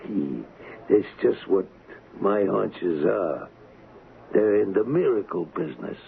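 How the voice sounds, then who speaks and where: A man playing a cocky, wisecracking gangster in an old-time radio crime drama, slangy and taunting, with rough grammar. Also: on the low side, very animated, slow, narrow, tinny audio, exaggerated voice. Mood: sardonic, defiant. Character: cocky, wisecracking street-tough gangster hired as an agent. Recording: thin, band-limited, hissy old radio recording.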